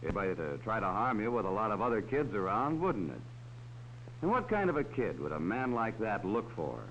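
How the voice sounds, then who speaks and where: A man's voice: on the low side, sing-song, fairly quick, fairly bright tone. A man narrating a 1940s educational film, talking kindly to children as a policeman would. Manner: talks down to children. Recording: dull old film soundtrack with faint hiss.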